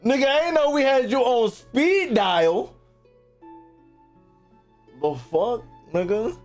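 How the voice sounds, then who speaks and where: A man giving casual livestream gameplay commentary, excitable, slangy and full of swearing. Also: extremely high pitch, slow pace. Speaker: game streamer. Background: game music.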